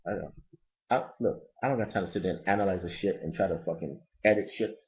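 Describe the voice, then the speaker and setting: A man with a Haitian accent who grew up in Brooklyn, ranting bluntly and profanely in a casual, rambling album review. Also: quick pace. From Brooklyn, New York. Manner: profane, blunt and rambling, lively pitch swings. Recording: lo-fi dull recording.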